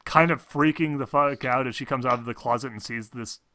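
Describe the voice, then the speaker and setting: A man narrating a tabletop game as game master in a casual, halting, hedging way. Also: mid-range voice, sharp tone.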